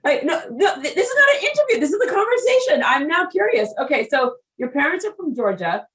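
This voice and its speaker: A woman's voice: high-pitched, far from the mic. Chatty woman host, a bit off-mic. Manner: chatty, quick, animated, interrupts with repeated words. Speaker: interview host. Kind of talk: casual conversational interview.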